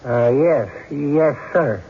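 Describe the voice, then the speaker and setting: Nervy-careful country witness, halting folksy answers, pitched up a bit, dull old radio play. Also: male voice, gravelly, slightly rough, exaggerated voice. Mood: guarded. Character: hesitant, grudging country card player testifying as witness. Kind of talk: 1970s radio drama acting. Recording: muffled, noisy old radio broadcast.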